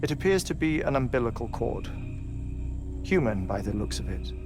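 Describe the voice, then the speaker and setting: An actor playing a gentle, obsessive male scientist with a weak heart, who talks in a soft, thoughtful, deliberate way. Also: medium pitch, sing-song. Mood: musing. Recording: game cutscene with music behind.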